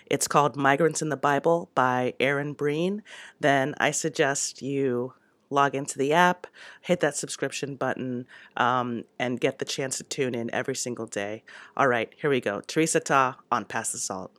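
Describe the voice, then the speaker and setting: A man's voice: medium pitch, moderate pace, fairly bright tone, dry recording. A man announcing a show in a casual promotional style.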